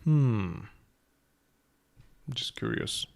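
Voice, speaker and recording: A young man from Cameroon reacting to a video in casual commentary, excitable and stammering through restarts. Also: medium pitch, very slow.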